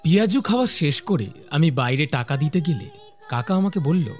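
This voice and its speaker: A man's voice: fairly high pitch, lively intonation, normal speed, soft background music, close to an everyday voice. A man voicing a curious young office worker who narrates his own ghost story in a cartoon, with acted dialogue. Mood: storytelling. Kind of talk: scripted first-person narration with acted dialogue.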